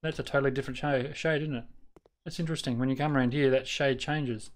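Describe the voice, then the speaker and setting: A man's voice: mid-range voice, steady tone, quick pace. An Australian man chatting casually to his live-stream viewers while he plays, rambling with lots of fillers and restarts and muttering at the game.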